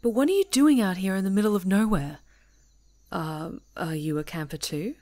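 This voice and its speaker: A woman voicing a friendly young woman camping alone on a retreat, chatty and teasing and quick to laugh, in a scripted audio roleplay. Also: medium pitch, darkish tone, lightly acted. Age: young adult. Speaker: ASMR roleplay performer.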